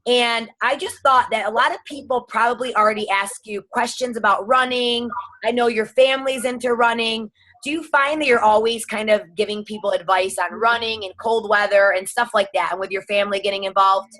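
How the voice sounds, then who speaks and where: A woman's voice: fairly high pitch, a little fast, fairly bright tone. A woman leading an informal training on a group call, instructive and emphatic, repeating her key points. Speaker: sales team trainer. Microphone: online group call.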